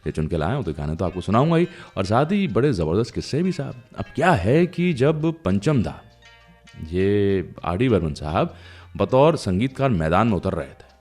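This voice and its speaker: Male radio host, deep and expressive, conversational storyteller for a music show, leans on dramatic pauses.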